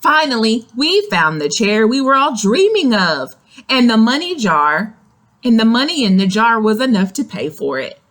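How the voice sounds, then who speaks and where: A woman reading a picture book aloud to young children, expressive and explanatory, speaking to them directly. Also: fairly high pitch, normal speed. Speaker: children's story reader. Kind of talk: animated read-aloud of a picture book with asides to young listeners.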